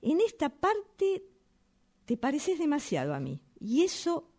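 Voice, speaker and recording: A woman's voice: high-pitched, wildly swooping pitch, a little slow, dark tone, exaggerated voice. An actress playing a stern, domineering woman in a radio play, with a Rioplatense Spanish accent and an emphatic, insistent delivery. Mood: stern, probing. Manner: emphatic, repeats phrases insistently. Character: stern, domineering, defensive woman, sure of herself. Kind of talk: radio theatre acting, workshop fiction.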